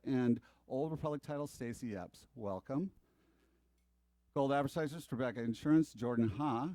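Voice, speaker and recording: A man hosting an office team meeting, quickly reading out sponsor names and joking with the room. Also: mid-range voice, mellow, dry recording. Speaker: meeting host. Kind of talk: upbeat office meeting emcee.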